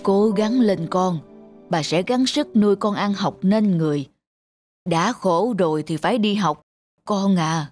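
A woman playing an elderly, caring grandmother in a cartoon, gently coaxing. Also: medium pitch, measured pace, close to an everyday voice. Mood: earnest, urging. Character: elderly, caring, self-sacrificing grandmother street vendor. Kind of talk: cartoon voice acting. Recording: music behind the voice.

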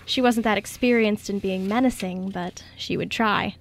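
A woman's voice: mid-range voice, fairly even intonation, normal speed, slight background noise. A woman reading scripted story narration as the narrator of a radio drama.

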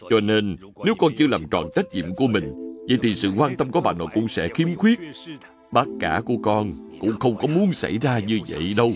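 A man playing a gentle, earnest family man in a dubbed children's cartoon. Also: fairly low pitch.

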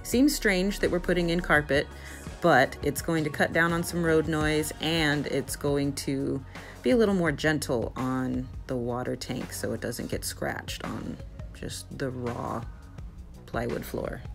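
A young woman with a low voice talks through her project in a casual vlog voice-over. She sounds bubbly and easily excited. Soft music plays faintly behind her. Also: unhurried, creaky. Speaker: vlogger.

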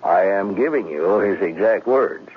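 An actor in a 1970s radio drama playing a dogged police detective with a gravelly, husky voice and a blunt, probing manner. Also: male voice, sits low, lively intonation, a little slow, slight background noise. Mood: pressing. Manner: blunt, probing, hesitant starts. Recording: thin, phone-like vintage radio recording.

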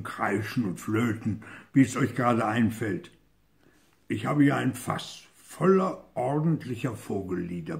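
An older man voicing a shaggy bear, stern lord of the forest, speaking deliberately and with command in a storybook read-aloud. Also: medium pitch, creaky, a little mellow.

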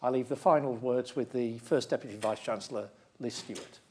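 A man's voice: mid-range voice, brisk, darkish tone, a little hiss or hum. A man acting as interviewer and host on stage, speaking in a formal, effusive manner. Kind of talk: closing remarks on stage. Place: large lecture theatre, on stage.

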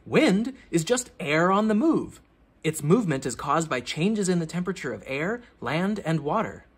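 A man with a fairly high, husky voice reads aloud for children. His pitch swings in a very lively way, with theatrical emphasis and teacherly, explanatory phrasing. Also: normal speed. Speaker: children's book reader, teacher. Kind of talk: expressive read-aloud of a children's science book.

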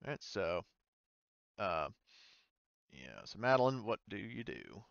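A man with a bright, clear voice acts as game master for a casual tabletop session. He thinks out loud as he rules on moves. The audio is dry and a little dull, like close-miked voice chat. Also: on the low side, very animated, slow, dry recording.

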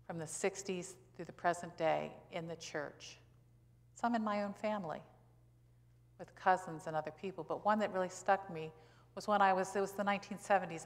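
An American woman in her sixties, a pastor giving a sermon in a conversational, emphatic way with rhetorical questions and pauses for stress. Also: fairly low pitch. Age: 60s. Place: church sanctuary, indoors at a pulpit. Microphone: pulpit gooseneck microphone. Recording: reverberant church hall.

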